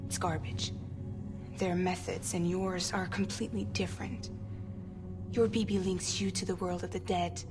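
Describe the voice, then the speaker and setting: A woman's voice: lowish voice, fairly even intonation, normal speed, music in the background, close to an everyday voice. An actress playing a serious woman who firmly explains a grim mystery in a game cutscene. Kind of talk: video game cutscene acting.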